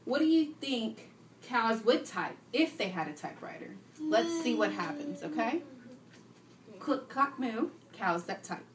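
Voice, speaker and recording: A woman's voice: on the high side, big pitch swings, a little slow. A woman teacher reading aloud to young children, instructing them and prompting them with questions. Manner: instructive, prompting and repeating pupils' answers. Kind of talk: classroom read-aloud with questions to pupils. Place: indoor classroom, recorded from a distance. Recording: roomy, distant classroom recording with faint noise.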